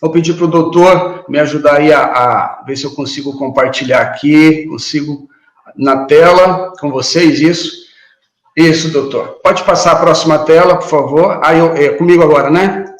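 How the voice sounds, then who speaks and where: A man's voice: on the high side, moderate pace, echoey room, poor audio. Earnest man lecturing on a video call. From Paraná, Brazil. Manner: earnest, reflective, with frequent hesitations. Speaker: guest speaker, behavioral trainer. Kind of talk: online lecture talk.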